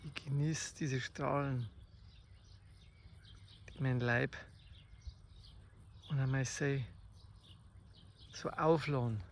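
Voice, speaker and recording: A man with a Bavarian accent improvises spoken poetry outdoors in a slow, reflective, halting way, in short phrases with long pauses. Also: medium pitch, steady tone. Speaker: amateur poet. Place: outdoors in the countryside. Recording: faint outdoor nature noise. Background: birdsong and rural ambience.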